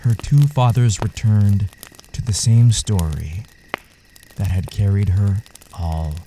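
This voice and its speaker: A man narrating a literary audio story in a measured, solemn way. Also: lowish voice, fairly even intonation, dark tone, slight background noise, low-quality recording.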